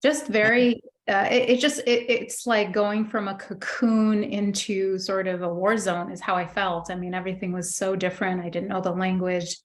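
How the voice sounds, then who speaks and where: A middle-aged woman born in Egypt, a guest in an interview, telling her life story thoughtfully with many pauses and restarts. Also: mid-range voice, flat delivery. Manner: thoughtful, many fillers and restarts, warm recollection. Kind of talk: reflective personal storytelling.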